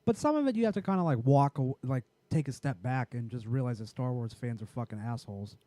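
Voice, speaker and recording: Raspy, profane man chatting. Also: mid-range voice, expressive, fast, mellow. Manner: profane, rambling, restarts often. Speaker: podcast co-host. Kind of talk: casual chat.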